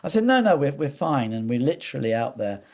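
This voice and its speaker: An interview guest, a man with a mellow, relaxed voice at an average pitch. He talks conversationally in rambling anecdotes, often repeating words and doubling back as he goes. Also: fast, creaky. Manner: hesitant, repetitive, digressive.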